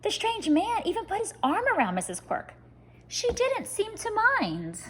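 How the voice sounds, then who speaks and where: A woman reading a children's story aloud like a teacher, expressive and speaking straight to young listeners. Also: extremely high pitch, normal speed, a little hiss or hum. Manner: expressive, addressing children directly.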